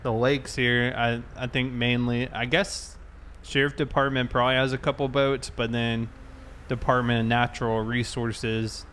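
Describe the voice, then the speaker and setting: A man's voice: mid-range voice, flat delivery, moderate pace, a bit bright. Man, mid-range voice, livestreamer, casual chat while gaming, faint vehicle noise. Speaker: livestreamer playing a police officer.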